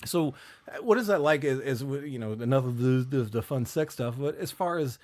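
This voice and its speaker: A man's voice: mid-range voice, lively intonation, a little fast, a little mellow. A man hosting a podcast in informal, bantering chat, riffing and swearing freely. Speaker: podcast host.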